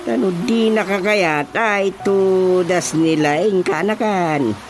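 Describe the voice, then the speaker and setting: A man's voice: high-pitched, takes it slowly. A man with an Ilocano accent playing a teasing, exclamatory village chairman uncle in a radio comedy drama. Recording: music behind the voice.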